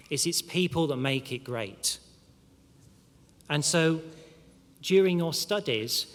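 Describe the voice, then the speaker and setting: A man addressing graduates at a ceremony, speaking in a measured way with pauses and the odd filler. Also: mid-range voice, fairly bright tone. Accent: non-local, not Mancunian. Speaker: university official giving a graduation address. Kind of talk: formal ceremony speech. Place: large hall. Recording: long reverb of a large hall.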